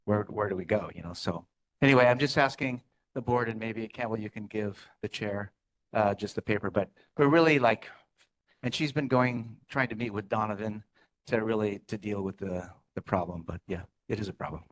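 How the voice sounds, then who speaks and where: A man commenting as a resident at a neighborhood meeting, rambling with halting restarts. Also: on the low side, a bit bright. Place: community meeting room.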